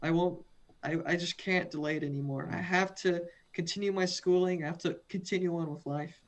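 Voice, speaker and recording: A man's voice: sits high, fairly even intonation, a little fast. A young man from the western United States, a student guest in a video interview, earnest and often repeating and restarting words. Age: young adult.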